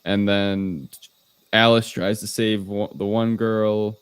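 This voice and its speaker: A man with a deep voice and a smooth, resonant tone. He co-hosts a podcast and talks through a story in a casual, conversational recap. The sound is close and dry. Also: flat delivery, slow, no room sound. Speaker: podcast co-host. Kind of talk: casual movie recap discussion.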